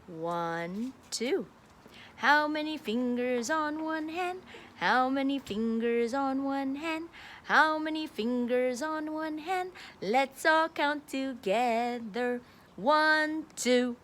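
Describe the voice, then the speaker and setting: Female early-years teacher, high-pitched and unhurried, sing-song delivery for a children's read-aloud and counting lesson. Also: a bit bright, slight background noise.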